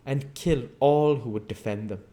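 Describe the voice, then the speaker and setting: A man's voice: medium pitch, normal speed, dark tone, voice pushed up, lightly acted. A man voicing a fanatical, megalomaniac schemer in a storybook reading, with emphatic, declamatory delivery. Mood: menacing, commanding.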